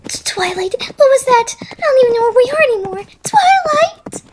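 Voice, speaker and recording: A frightened young female pony in a storybook tale, voiced by a woman. She is very high-pitched and wavers up and down. She stammers and whimpers timidly. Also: very animated, normal speed, darkish tone, slight background noise. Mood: anxious. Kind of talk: amateur storybook fan fiction reading.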